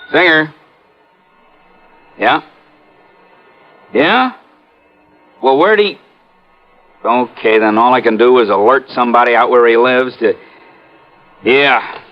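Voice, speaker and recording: A man's voice: medium pitch, lively intonation, slow pace, long pauses, soft background music. A man playing a blustery, insistent police lieutenant in a 1950s radio drama, emphatic and informal. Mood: businesslike, informal. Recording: muffled, hissy old radio recording.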